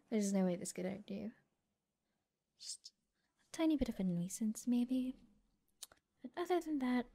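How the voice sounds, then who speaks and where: A breathy, mellow female mecha mechanic, played by a woman in an ASMR roleplay. She is gentle and lightly teasing, speaking softly and often dropping to a whisper, in an unhurried murmur of reassurance. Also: a little high, exaggerated voice. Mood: teasing, reassuring. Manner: unhurried, murmuring reassurance while busy working.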